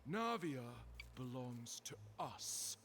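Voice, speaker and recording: A man's voice: mid-range voice, very animated, slow pace, a bit bright, slight background noise. An actor playing an eerie, sanctimonious spokesman for a ghostly collective in a video game. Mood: stern.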